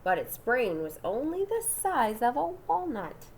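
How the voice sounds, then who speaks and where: A woman voicing a calm, know-it-all older brother in a storybook, explaining things matter-of-factly and showing off. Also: on the high side, a little slow, slight background noise, voice pushed up.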